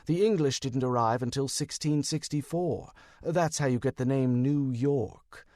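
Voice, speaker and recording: A man's voice: medium pitch, unhurried, a little mellow, no echo, natural voice. A man voicing a fussy male wizard detective in an audiobook, precise and dry, easily exasperated.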